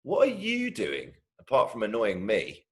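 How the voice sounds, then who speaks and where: A man voicing an excitable, adventurous little brother in a storybook, exclaiming eagerly. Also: lowish voice, a little slow. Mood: excited, urgent. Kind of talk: storybook character voice.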